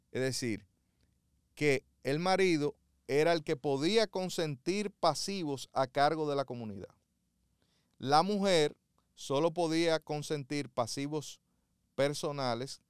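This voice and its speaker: Male legal commentator, fairly high voice, very clear and crisp, dry close sound, didactic, measured delivery. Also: no echo. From Dominican Republic. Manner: measured, didactic, with frequent fillers and restarts.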